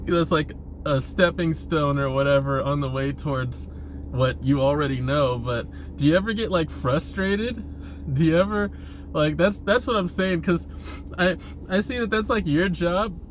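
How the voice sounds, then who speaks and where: Rambling man with a higher-pitched voice. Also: measured pace, a little hiss or hum. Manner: hesitant, repeats and restarts phrases, often chuckling. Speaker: vlogger posing questions to spiritual teachers. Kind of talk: casual rambling monologue addressed to camera.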